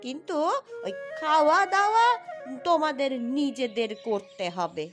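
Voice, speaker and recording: A woman voicing a crabby, bossy old village granny in a fairy-tale cartoon, scolding and suspicious with drawn-out words. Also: sits high, big pitch swings, soft background music. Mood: grudging. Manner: scolding, suspicious, grudging, drawling.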